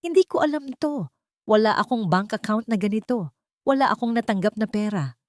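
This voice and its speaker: A woman's voice: medium pitch, expressive, fairly quick, darkish tone, no echo, clean studio sound, pitched above the performer's own voice, lightly acted. A woman with a Filipino accent voicing a distressed, wronged working woman with wounded dignity in an audiobook. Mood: shocked denial. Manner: pleading, earnest. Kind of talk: audiobook character acting.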